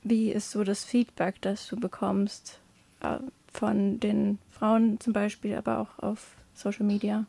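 A woman's voice: medium pitch, level tone, a little slow, creaky, warm tone, slightly rough, no echo. A woman working as an interviewer, asking questions in a conversational style.

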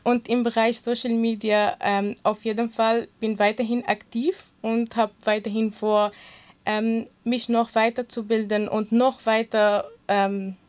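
A woman whose first language is Kurdish, answering interview questions about her work as a swimming instructor in an earnest way, pausing and searching for words. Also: fairly high pitch, level tone.

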